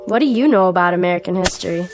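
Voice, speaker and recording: A woman's voice: lowish voice, rapid-fire, over music, close to an everyday voice. An actress playing a blunt, wisecracking, nagging young woman in a comedic radio drama.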